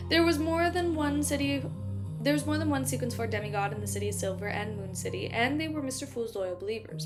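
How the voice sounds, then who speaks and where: Kinda high-voiced woman reading a novel out loud, lots of "like"s and laughs, little stutters, music playing. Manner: chatty, laughs often, many "like" fillers; still stutters on some words. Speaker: streamer reading a web novel aloud. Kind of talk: casual chat between passages of a novel read aloud.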